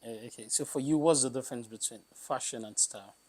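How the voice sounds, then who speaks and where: A man's voice: mid-range voice, fast, a little mellow, breathy edge, dry recording. A man hosting a podcast, asking short questions and agreeing often. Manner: brief prompts, frequent "mm-hmm" agreement. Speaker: podcast host. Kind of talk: interview questions and backchannel.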